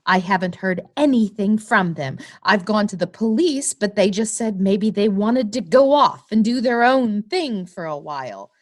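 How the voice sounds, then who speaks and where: A woman's voice: medium pitch, animated, unhurried, few pauses. An actress playing a prim, earnest young woman in a comic noir play, speaking precisely and insistently like a schoolteacher. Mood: earnest, worried. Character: prim, earnest young woman client, a former teacher turned lawyer.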